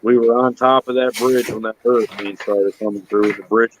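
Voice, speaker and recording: A man in his thirties reminiscing in a relaxed casual chat. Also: on the low side, brisk, background noise. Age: 30s.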